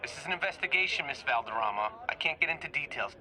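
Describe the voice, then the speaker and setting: A man's voice: a little high, lively intonation, fast talker, noisy background, natural voice. A man playing a testy, evasive police chief in a video game, curt and defensive. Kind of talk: video game cutscene acting.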